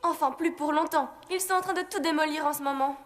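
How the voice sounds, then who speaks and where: A woman as a high-voiced sensible cartoon girl. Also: fast talker, soft background music, natural voice. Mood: wary, practical. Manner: practical, polite, reluctant. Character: sensible, polite young girl companion. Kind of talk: French animated film dub.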